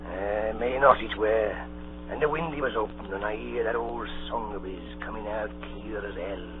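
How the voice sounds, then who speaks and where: Actor as gloomy low-voiced pirate, tinny radio. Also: male voice, moderate pace, lightly acted. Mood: gloomy, fearful. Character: gruff, superstitious old pirate crewman, gloomy. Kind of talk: old-time radio drama acting. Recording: old tinny radio broadcast, music behind.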